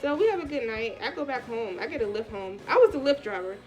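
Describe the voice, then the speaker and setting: A woman's voice: fairly high pitch, quick pace, soft background music. An American woman vlogger with a congested, stuffy voice, chatting casually through a personal story in colloquial speech and acting out the dialogue as she goes. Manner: colloquial, animated retelling with quoted dialogue.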